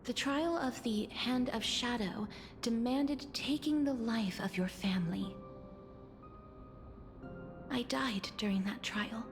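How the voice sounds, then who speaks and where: Slow, gentle young woman, music behind. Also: fairly high pitch. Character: gentle, solemn young woman bound to death. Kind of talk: dramatic video game voice acting.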